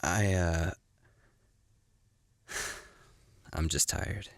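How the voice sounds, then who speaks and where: Awkward, very deep-voiced young dreamer. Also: male voice, steady tone, extremely slow, vocal fry, dry recording, exaggerated voice. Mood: awkward, evasive. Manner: hesitant, awkward, earnest. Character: restless young male blacksmith's apprentice, awkward dreamer. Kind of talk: naturalistic animated series acting.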